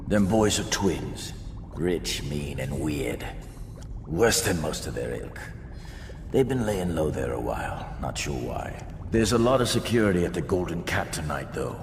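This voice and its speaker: An actor playing a shrewd, menacing street gang boss in a video game, swaggering through rough colloquial talk. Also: male voice, a bass voice, very animated, unhurried, background noise, heavily acted. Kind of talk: video game villain dialogue. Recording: echoey cavernous room.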